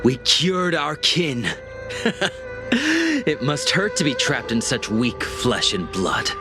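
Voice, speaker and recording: An actor playing a gleeful, unhinged captive cultist in a video game, taunting and theatrical. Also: male voice, a little high, sing-song, slow pace, over music. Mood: gleeful mockery. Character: mocking captured male cultist, gleeful and unhinged. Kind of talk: dramatic video game voice acting.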